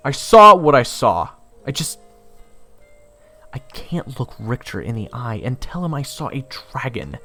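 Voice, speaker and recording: A man's voice: medium pitch, sing-song, a little slow, bright, forceful tone, faint music behind, full character voice. A man playing a weary, sarcastic young soldier who tells his story in a casual, exasperated way in an audio drama. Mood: exasperated, agitated. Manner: wry, exasperated, casual first-person storytelling. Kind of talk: audio roleplay narration and dialogue.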